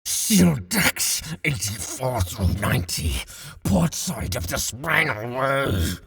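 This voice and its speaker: A man voicing a battle-maddened armored officer who barely holds back his bloodlust, growling out strained, clipped commands in a husky voice. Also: mid-range voice, big pitch swings, takes it slowly, fairly bright tone, heavily acted. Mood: strained, grim.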